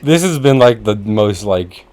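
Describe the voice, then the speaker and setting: A man hosting a casual podcast chat, rambling and jokey, with easy swearing and an animated delivery. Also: on the low side, a little slow, very noisy. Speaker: podcast host.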